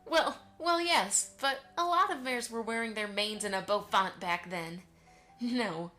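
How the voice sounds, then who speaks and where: Woman playing a high-voiced, gentle mother pony. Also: unhurried, faint music behind. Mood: fond, nostalgic. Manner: affectionate, storytelling to a child. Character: Velvet, gentle motherly pony mare, fondly nostalgic. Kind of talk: amateur audio drama acting.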